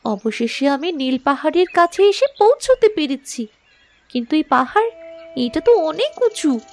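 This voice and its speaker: A woman's voice: high voice, very animated, measured pace, darkish tone, exaggerated voice. A woman voicing a brave, earnest young princess in a fairy-tale cartoon, speaking expressively. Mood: worried.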